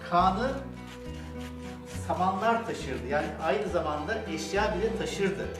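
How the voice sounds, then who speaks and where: A man's voice: high voice, a little slow. An older man, a grandfather guiding children through crafts step by step with endearments and simple questions. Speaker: grandfather hosting a children's toy-making show. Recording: roomy echo with music. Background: music.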